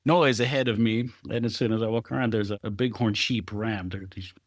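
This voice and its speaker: Middle-aged man from Israel, low voice, dry close sound, reflective storyteller who laughs easily. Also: steady tone, fairly quick, no echo. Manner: thoughtful, laughs often while telling stories. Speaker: guest photographer. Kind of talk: reflective conversational interview.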